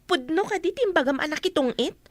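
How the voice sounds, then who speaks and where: A woman's voice: extremely high pitch, expressive, a little fast. A woman with a northern Philippine accent playing a reproachful, hard-working eldest daughter in a comedy radio drama. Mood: questioning. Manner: questioning, reproachful.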